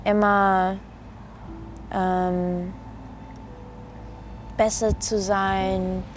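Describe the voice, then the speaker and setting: A woman with an Australian accent, a native English speaker, giving informal, halting interview answers as a dancer. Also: mid-range voice, vocal fry, a little hiss or hum. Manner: halting, searching for words.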